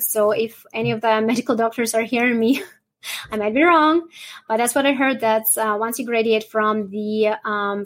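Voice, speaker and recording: A woman from Russia, answering interview questions in a rambling way and often adding "right?". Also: a little high. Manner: rambling, frequent "right?" tags, light laughs. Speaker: guest, holistic nutritionist.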